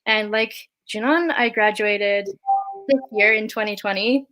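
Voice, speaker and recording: A woman's voice: mid-range voice, unhurried, pushed and bright, no room sound, faint music behind, rough recording. A young woman from Canada on a gap year, introducing herself on a video-call panel in an easygoing, chatty way. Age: young adult.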